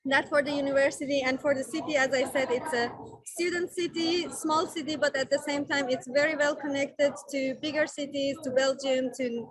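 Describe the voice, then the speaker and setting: High-voiced woman student on a video call. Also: steady tone, moderate pace, slight background noise. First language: not German. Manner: friendly, searching for words with fillers. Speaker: international student. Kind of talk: presenting at a virtual fair.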